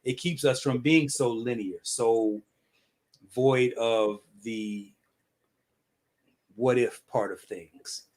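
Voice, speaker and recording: A man co-hosting a relationship talk show, a coach who debates his co-host and reads out viewer comments in an animated way. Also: mid-range voice, slow, pauses often.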